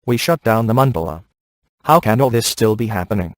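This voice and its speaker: An actor playing a streetwise, exasperated ghost hunter in a video game, informal and grumbling. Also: male voice, fairly low pitch, animated, fairly quick, no room sound, lightly acted. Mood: concerned.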